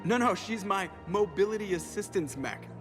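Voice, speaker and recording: A man's voice: sits high, expressive, moderate pace, music in the background. An actor playing a sardonic, casual, wisecracking crewman in a video game, with a wry delivery.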